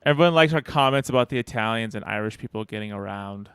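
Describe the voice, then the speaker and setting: A man's voice: fairly low pitch, fast talker, a bit bright, clear, resonant tone, no room sound. A man in his forties hosting an interview from a home studio, teasing and joking with his guest in friendly banter. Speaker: podcast host. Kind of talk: casual video call interview. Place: small home studio indoors. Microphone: headset with studio microphone.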